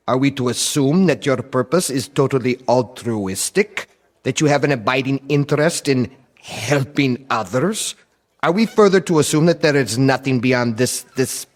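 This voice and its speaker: An actor playing a skeptical Russian delegate in a radio drama, speaking in a pointed, rhetorical, formal manner. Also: male voice, mid-range voice, close to an everyday voice.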